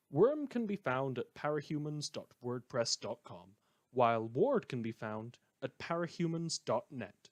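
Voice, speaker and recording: A man reading scripted production credits as a show's narrator. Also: mid-range voice.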